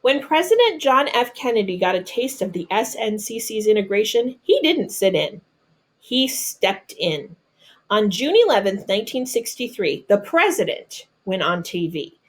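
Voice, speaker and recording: A woman with a mid-pitched voice reads a children's book aloud. She delivers the text deliberately and breaks off into plain, simple explanations, as if speaking to young listeners. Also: very animated. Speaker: storybook reader.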